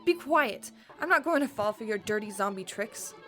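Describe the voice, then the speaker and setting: A woman's voice: medium pitch, lively intonation, normal speed, lightly acted. A woman playing a teenage girl who rehearses a haughty, ruthless vampire role in a melodramatic school play. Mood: scornful. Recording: music behind the voice.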